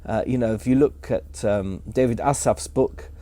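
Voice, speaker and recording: A man's voice: mid-range voice, normal speed, warm tone, no room sound. A man appearing as a guest on a podcast, explaining history fluently in a lecturing way with some fillers. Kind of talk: casual explanatory podcast talk.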